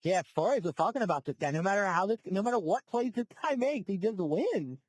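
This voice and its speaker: A man doing play-by-play commentary on a live card game match, brisk and informative, calling each play and counting the damage. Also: high voice, animated, no echo.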